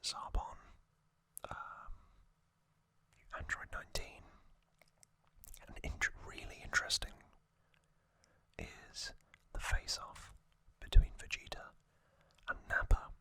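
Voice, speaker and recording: An ASMR host speaking in a soft, breathy, mostly unvoiced whisper, gently recapping in short phrases with lingering pauses, close to the microphone. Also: dry recording. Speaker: host of a whispered trivia channel.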